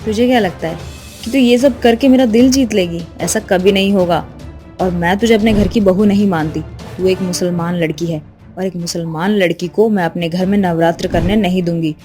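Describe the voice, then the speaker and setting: A woman's voice: on the low side, normal speed, mellow, natural voice. A woman voicing a scolding mother-in-law. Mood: angry. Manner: scolding, contemptuous. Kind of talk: animated moral story dubbing. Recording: music bed behind voice.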